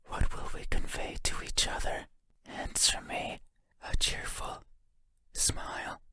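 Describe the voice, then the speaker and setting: Man acting whispering, lonely monster in the dark. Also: close to an everyday voice. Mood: eager longing. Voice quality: breathy, unvoiced whisper. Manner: halting, intimate, musing, formal phrasing. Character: lonely wordless monster lurking in the dark, yearning, eerie. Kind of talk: video game horror monologue.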